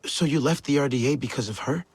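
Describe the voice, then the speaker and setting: An actor playing a calm, sympathetic male hero in a video game. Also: medium pitch, quick pace, breathy edge, no echo, lightly acted. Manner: short, calm replies.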